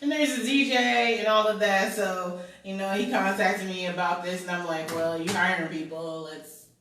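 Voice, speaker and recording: A woman's voice: fairly low pitch, fairly even intonation, normal speed, fairly bright tone. A woman chatting casually as co-host of a talk show. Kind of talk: casual chat. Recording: very echoey room, distant mic, faint noise.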